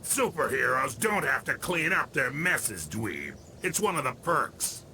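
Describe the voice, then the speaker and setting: A woman's voice: very deep voice, sing-song, measured pace, vocal fry, background noise, full character voice. A woman voicing a smug, breezy young boy superhero in a cartoon game, flippant and cocky.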